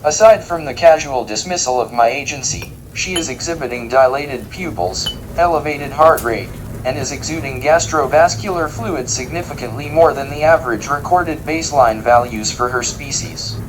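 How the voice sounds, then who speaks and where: A man playing a pedantic robot chef in a comedy audio drama, speaking in a formal, precise, deadpan way. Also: mid-range voice, moderate pace, noisy background, lightly acted. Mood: dry, pedantic. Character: dry, pedantic robot chef with wounded pride.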